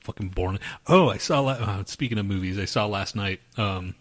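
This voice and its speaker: A man's voice: fairly low pitch, moderate pace. A man co-hosting a podcast, talking in a loose, profane, rambling way about his day. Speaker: podcast co-host. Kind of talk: crude casual chat.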